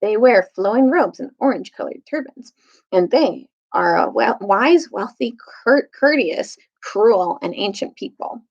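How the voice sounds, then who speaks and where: A woman's voice: mid-range voice, animated, unhurried, creaky, highs cut off. A woman reading a children's storybook aloud as a storytime reader, sometimes stumbling over longer words.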